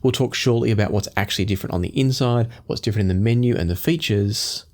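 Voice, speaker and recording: Male reviewer, forties, fairly low pitch, measured explanatory delivery. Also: a little mellow. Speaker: audio gear reviewer and host. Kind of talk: product review to camera. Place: small home studio room indoors.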